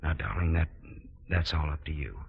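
An American actor playing an earnest, gentle theater director in 1940s radio style, with halting, hesitant phrasing. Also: male voice, a bass voice, animated, gravelly, a little hiss or hum, heavily acted. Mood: earnest, pleading. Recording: muffled 1940s radio broadcast.

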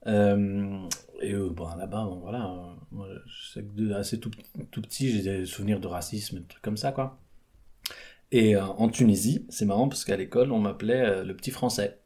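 A man's voice: fairly low pitch, normal speed, dark tone. A young man from France, chatting in an interview, hesitant with many fillers and restarts. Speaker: guest, theatre performer. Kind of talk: casual interview chat.

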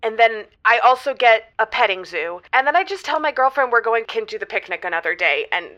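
Woman acting eager high-voiced female caller. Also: lively intonation, a little fast, pushed and bright, muffled recording. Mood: earnest. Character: earnest female caller planning over-the-top romantic gestures. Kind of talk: semi-improvised audio drama.

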